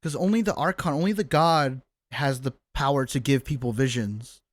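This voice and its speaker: A man's voice: mid-range voice, moderate pace, no room sound. A man giving casual, exclamatory live commentary on a game, swearing freely. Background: game music.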